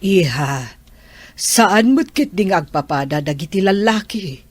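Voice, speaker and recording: A woman playing a caring older aunt who gently advises her niece in a radio drama. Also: lowish voice, animated, unhurried, no echo. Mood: advising. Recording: soft music underneath.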